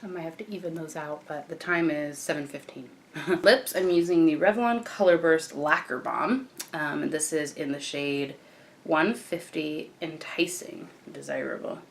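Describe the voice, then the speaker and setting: A young woman with a croaky morning voice, chatting casually as she walks through a makeup tutorial. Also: low-pitched, moderate pace, a little hiss or hum. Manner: chatty, relaxed, frequent fillers and restarts. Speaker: beauty vlogger.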